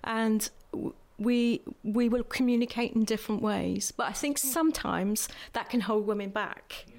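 A woman speaking earnestly on a church panel, measured, pausing often with fillers. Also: on the high side, a little mellow. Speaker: church speaker who prophesies.

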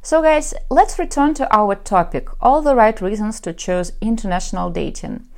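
A woman's voice: medium pitch, moderate pace, gravelly. A woman from Ukraine, a matchmaker, talking frankly and wryly in a solo podcast monologue. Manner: confident, frank and wry.

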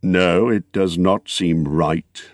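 A man voicing a grave old wizard in a storybook reading, patient, watchful and stern, speaking in a measured, thoughtful way. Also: fairly low pitch, lively intonation, gravelly, dry recording, full character voice.

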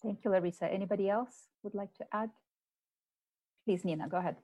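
A woman's voice: medium pitch, mellow, no room sound. A woman moderating an online panel, posing questions and passing the word politely to each guest. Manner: polite, brisk.

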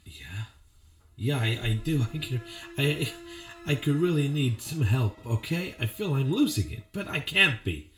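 A man's voice: medium pitch, animated, measured pace, fairly bright tone. A man playing a confused, desperate ex-cop with amnesia in an improvised film noir audio play, anxiously repeating himself. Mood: shaken. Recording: roomy echo with faint music.

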